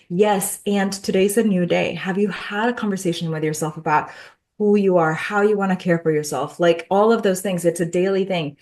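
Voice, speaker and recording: A woman with a Mexican accent speaking in an interview, emphatic and repetitive, stacking up lists of phrases, sounding a little tired. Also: medium pitch. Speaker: guest, self-love mentor. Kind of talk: heartfelt interview talk.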